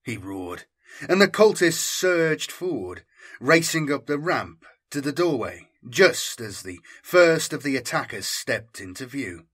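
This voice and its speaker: Forceful, measured male audiobook narrator. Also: medium pitch, big pitch swings, husky. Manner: intense, theatrical. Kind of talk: dramatic scripted narration.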